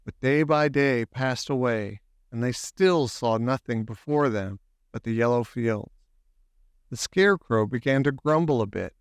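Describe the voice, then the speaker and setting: Unhurried, crisp male storybook narrator. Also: mid-range voice, dry recording, studio quality. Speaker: audiobook narrator. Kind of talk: scripted children's story narration.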